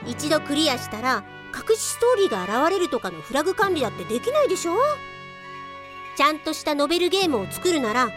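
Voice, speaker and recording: A woman acting as a sharp-tongued, know-it-all office software cop in a comedic radio drama, blunt and rough-spoken. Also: sits high, big pitch swings, fast. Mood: lecturing. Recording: voice over clear background music.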